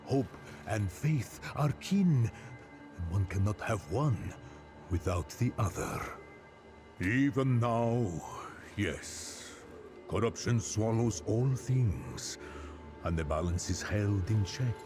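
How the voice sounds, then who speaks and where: A man playing a grave, devout elder storyteller of a dying forest people in a fantasy game, halting and musing in delivery. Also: lowish voice, lively intonation. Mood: solemn, devout. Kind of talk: theatrical fantasy game dialogue. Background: music.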